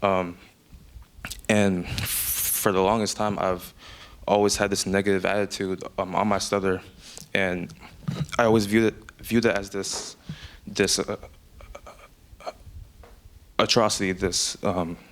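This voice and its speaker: A young man giving a prepared personal speech, speaking with an audible stutter, frequent repetitions and fillers. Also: sits low. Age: young adult. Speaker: student speaker. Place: event speech.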